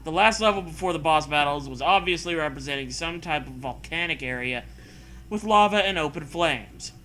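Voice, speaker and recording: A man's voice: on the high side, normal speed, sharp tone. A man doing a mocking dramatic reading with casual riffing, sarcastic, profane and prone to hammy emphasis. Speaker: comedy co-host reading fan fiction aloud.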